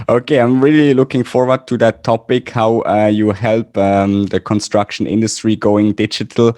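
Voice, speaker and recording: Male podcast host, low voice, halting and full of fillers, dry recording. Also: level tone, darkish tone, no room sound. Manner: halting, with many fillers and restarts. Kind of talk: casual interview hosting.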